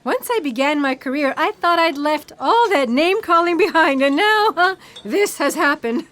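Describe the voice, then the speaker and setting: An actress as an anxious female paleontologist in a comic sci-fi audio drama. Her voice sits high. She is defensive and easily flustered, halting as she explains herself. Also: lively intonation, fairly bright tone. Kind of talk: comedic sci-fi audio theatre acting.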